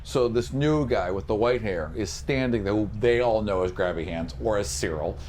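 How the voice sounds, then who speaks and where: A man working as a game master, describing scenes for a tabletop fantasy game in short, halting phrases. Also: fairly low pitch, darkish tone, a little hiss or hum. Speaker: game master and podcast host.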